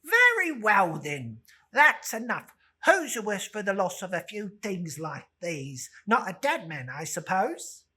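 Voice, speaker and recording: A woman's voice: mid-range voice, very animated, a little slow, few pauses, sharp tone, breathy edge, pitched above the performer's own voice, exaggerated voice. A woman voicing a brazen, defiant working-class charwoman with a scolding manner in a dramatic storybook reading.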